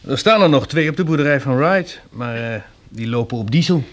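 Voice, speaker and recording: A modest, helpful man in a Dutch radio play, played by an actor. His voice sits at a medium pitch with a mild manner. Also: lively intonation, fairly quick, natural voice.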